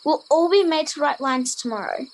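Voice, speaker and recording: A woman's voice: high voice, a little fast. An Australian girl of about ten playing a prim, bossy Victorian boarding-school pupil in an amateur radio play. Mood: scolding, fearful. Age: 10 to 11, child. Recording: noisy home recording with crickets.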